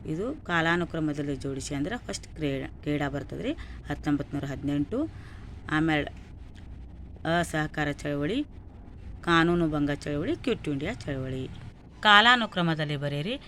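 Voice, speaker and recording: A woman's voice: sits low, expressive, a little slow, pauses often, a bit bright, slight background noise. A woman with a North Karnataka accent reading out exam questions and answers like a teacher, item by item. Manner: instructional, listing answers item by item.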